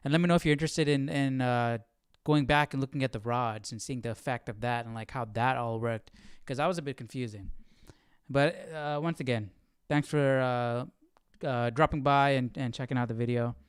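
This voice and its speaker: A man's voice: mid-range voice, normal speed, smooth, no echo, studio quality. A man hosting a Bible study livestream, chatting casually and thinking aloud in an informal, questioning way.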